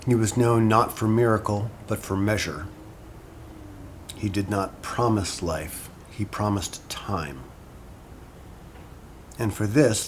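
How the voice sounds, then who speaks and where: A male university lecturer in an audio drama, with a low voice and a very slow, measured delivery. Noisy ambience sits behind him. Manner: casual and halting when talking, solemn when reading. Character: hesitant male classics lecturer reading an ancient epic aloud. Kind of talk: lecture and measured literary reading in audio fiction.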